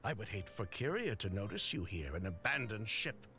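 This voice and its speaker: A man's voice: fairly low pitch, very animated, moderate pace, music in the background. An actor playing a wary adult man who scolds someone. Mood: reproachful.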